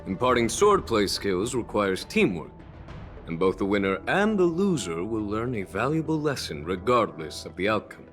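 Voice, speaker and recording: A man voicing a polite, composed young swordsman who speaks in a measured way. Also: lowish voice, big pitch swings, a bit bright, faint music behind.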